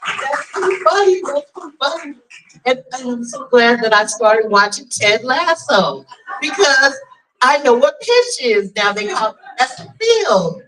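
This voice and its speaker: Woman host, very lively pitch, exclamatory and chatty, heard from off the mic in a live room. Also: measured pace, background noise. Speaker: emcee and storyteller. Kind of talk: live personal storytelling and hosting. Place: restaurant event room. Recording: distant mic in a live venue.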